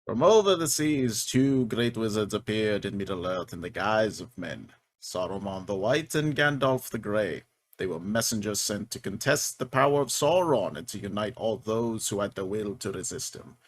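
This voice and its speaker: An actor playing a solemn storyteller of ancient legend, narrating a script portentously and dramatically. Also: male voice, on the low side, lively intonation, moderate pace. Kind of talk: scripted narration at a table read.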